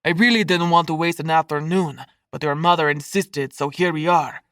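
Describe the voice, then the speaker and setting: A woman's voice: low voice, moderate pace, fairly bright tone, no room sound. A woman voicing a stern, scornful middle-aged man with a Spanish accent in an audiobook, hollow and precisely enunciated.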